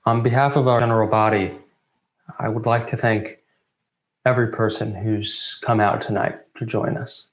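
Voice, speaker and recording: Young male event host, low-pitched, formal and measured, recorded in a roomy echo. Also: little pitch movement. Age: 20s. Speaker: co-founder of student theatre group introducing an event. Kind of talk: formal welcome speech. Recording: roomy, echoing sound.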